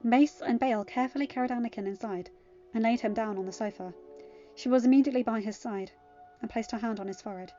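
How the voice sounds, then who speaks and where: A woman's voice: fairly high pitch, fast, dark tone, dull audio. A woman reading a scripted story aloud as narrator of an audiobook. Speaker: audiobook reader of fan fiction. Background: background music.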